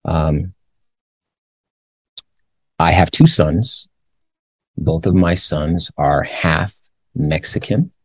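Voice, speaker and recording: A man in his forties from the Los Angeles area, hosting a commentary show. He reads a news story aloud, then gives his views in a measured way with many pauses. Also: sits low, slightly rough, no echo, rough recording. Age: 40s. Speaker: show host.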